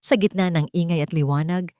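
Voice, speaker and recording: A woman's voice: on the low side, fairly even intonation, a little fast, warm tone, no echo, clean studio sound. A woman narrating a scripted first-person romance story.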